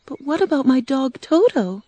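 A brave young girl with a high, bright voice, as a woman reader plays her. She sounds earnest and bold, her pitch lifted well above the reader's own. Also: sing-song, moderate pace, no echo.

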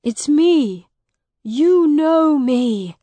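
A woman's voice: fairly high pitch, slow, no echo. A woman voicing a long-lost brother in an audiobook, a pleading, indignant young man who speaks emphatically. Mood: desperate, defiant. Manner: emphatic, imploring. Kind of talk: graded audiobook character dialogue.

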